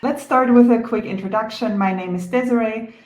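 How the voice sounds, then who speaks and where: A woman with a German accent hosting a software training webinar over a video call, organized and friendly, with frequent small fillers. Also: fairly high pitch, brisk. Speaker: product marketing host. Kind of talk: webinar presenting. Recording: echoey room.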